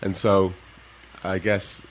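A man with a fairly low voice tells stories in a relaxed, easy way to young listeners. He laughs now and then as he goes. Faint noise sits behind him. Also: slow pace, rough recording. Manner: relaxed storytelling, laughs often. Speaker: guest, former pro basketball player. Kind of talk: casual interview talk to kids.

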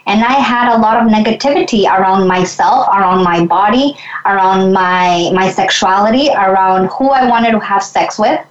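A woman's voice: medium pitch, moderate pace, hardly any pauses, echoey room, muffled recording. A woman from Mexico, a guest in an interview, speaking reflectively and earnestly, often restarting her sentences. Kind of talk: conversational interview.

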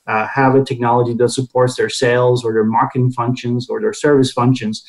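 A man in his forties from Costa Rica, a consulting manager, speaking as a podcast guest in a halting way, with many fillers and repeated words. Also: mid-range voice, a little mellow, rough recording. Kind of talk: unscripted guest remarks.

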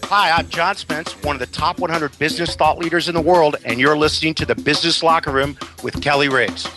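Male bumper read, fairly high voice, scripted intro with clear music underneath. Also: fairly even intonation, fairly quick. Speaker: endorsing guest expert in a show bumper.

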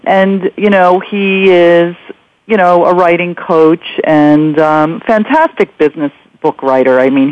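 A woman's voice: low-pitched, darkish tone, dry recording. A woman radio host with a chatty, rambling way of interviewing. She talks conversationally and leans on "you know" as she goes. The sound is dry and slightly dull, like lo-fi radio.

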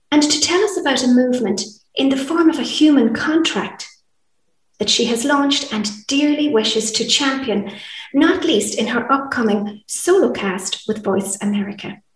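A woman's voice: sits high, animated, unhurried. Enthusiastic woman host, roomy distant sound. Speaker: podcast host. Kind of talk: scripted introduction and interview questions.

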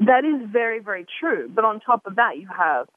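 A woman's voice: on the high side, big pitch swings, fairly quick, no room sound. A woman hosting a radio talk show, chatty and often restarting her sentences. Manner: chatty, self-interrupting, repeats words. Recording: narrow phone-like line.

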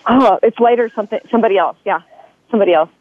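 A woman's voice: mid-range voice, rapid-fire, dry recording. A woman calling in to a talk show, eager and bubbly, with many ums as she asks her question. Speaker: caller. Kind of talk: phone-in question. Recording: tinny phone line.

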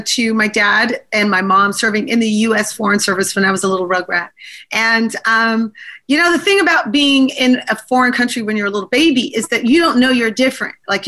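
A woman talks through her answers as an interview guest, her voice bright and a little high. She laughs easily and keeps restarting her sentences. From born abroad to diplomat parents. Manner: chatty, laughs often, many restarts and fillers. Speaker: congressional candidate guest.

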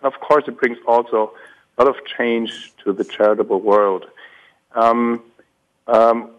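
A hoarse man, calling in as a guest and speaking hesitantly with many ums and restarts. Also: on the low side. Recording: dull lo-fi call line.

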